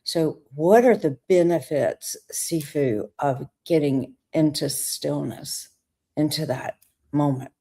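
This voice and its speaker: A woman's voice: deep voice, slow pace, mellow, husky. A woman hosting a podcast, talking in a reflective way about stillness and guiding the listener through ideas. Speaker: podcast host. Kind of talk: conversational spiritual talk.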